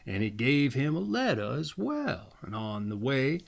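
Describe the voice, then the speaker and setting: A man reading a fairy tale aloud as a storyteller, in a theatrical, deliberate way. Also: mid-range voice, huge pitch swings, vocal fry.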